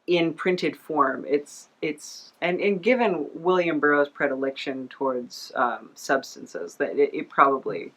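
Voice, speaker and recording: A woman's voice: low-pitched, unhurried, hardly any pauses, a bit bright, low-quality recording. A woman hosting a podcast in a casual, rambling, self-deprecating chat style. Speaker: podcast host.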